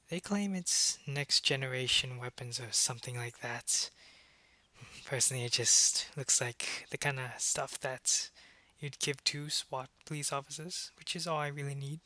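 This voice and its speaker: A man playing a suave, calculating vampire businessman in an ASMR roleplay, speaking in a measured, smug and politely menacing way. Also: mid-range voice, pushed and bright, dry recording. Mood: calm, businesslike. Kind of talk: ASMR roleplay audio drama.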